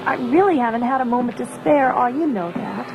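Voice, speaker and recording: An actress playing a brisk, authoritative young rebel princess in a 1980s audio drama. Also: female voice, on the high side, expressive, vocal fry, highs cut off. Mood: apologetic. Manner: businesslike, authoritative. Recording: music behind, radio drama production.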